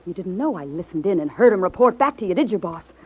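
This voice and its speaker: Brassy, defiant gangster's dame. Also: female voice, lowish voice, animated, quick pace, slightly rough. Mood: angry, defiant. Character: tough, defiant gangster's dame turning on her boss. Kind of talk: 1950s radio drama acting. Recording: dull 1950s radio broadcast recording.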